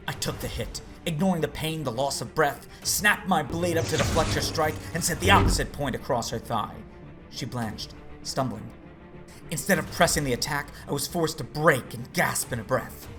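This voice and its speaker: An actor playing a battle-weary warrior father who narrates his own duel in an audio drama, grave and intense, breathy in places. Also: fairly high pitch, moderate pace, close to an everyday voice. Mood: tense, strained. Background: music.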